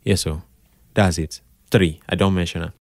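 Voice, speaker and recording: Deep-voiced Nigerian man chatting casually. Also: normal speed. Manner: expressive, mixes in Pidgin, repeats phrases for emphasis. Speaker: podcast guest, radio drama producer. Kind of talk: casual interview chat.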